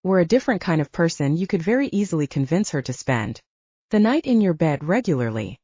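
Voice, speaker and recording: Woman doing a cocky werewolf pack boss guy for an audiobook, sarcastic n teasing, mellow, bit muffled. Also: lowish voice, sing-song, fairly quick, husky, no room sound. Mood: frank, teasing. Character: brash teasing male werewolf pack leader.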